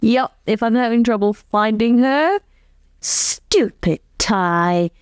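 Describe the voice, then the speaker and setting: Clear-voiced woman in lively casual commentary. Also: on the high side, slow, dry recording, clean studio sound.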